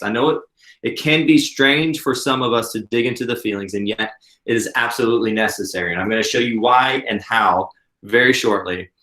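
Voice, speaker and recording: An earnest man in his late thirties coaches a workshop, talking in a friendly, encouraging way. His voice sits at an ordinary middle pitch. The recording carries a noticeable roomy echo. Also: a bit bright. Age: 30s. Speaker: business coach. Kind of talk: instructional workshop talk.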